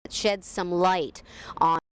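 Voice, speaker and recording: A woman's voice: on the low side, fairly even intonation, slow. A woman field reporter giving a live, partly ad-libbed TV news report. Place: outdoors on a roadside at an incident scene. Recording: noisy outdoor street with traffic.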